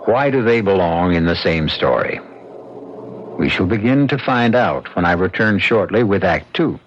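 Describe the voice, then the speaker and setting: A man acting as the solemn host and storyteller of a radio mystery, narrating in a portentous, deliberate way. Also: low voice, animated, background noise. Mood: ominous. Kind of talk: dramatic scripted radio narration. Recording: dull old radio recording. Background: music.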